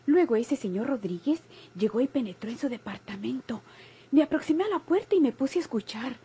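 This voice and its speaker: Actress as an excitable, high-voiced young Mexican woman. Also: fairly quick, close to an everyday voice. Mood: breathless, confiding. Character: excitable, nosy young daughter telling secrets. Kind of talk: golden-age Mexican radio drama acting.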